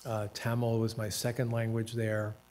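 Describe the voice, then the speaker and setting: Older man reflecting on his life, unhurried. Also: on the low side, monotone, darkish tone, a little hiss or hum. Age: 70s. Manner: unhurried, self-deprecating, with dry humor; hesitant fillers and restarts. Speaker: author and professor. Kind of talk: reflective personal storytelling at a panel talk.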